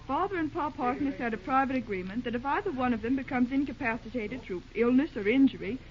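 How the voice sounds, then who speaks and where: A woman's voice: sits high, quick pace, lightly acted. An actress playing an earnest, spirited young American railroad woman in old-time radio drama style. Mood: worried. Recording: dull, noisy vintage radio recording.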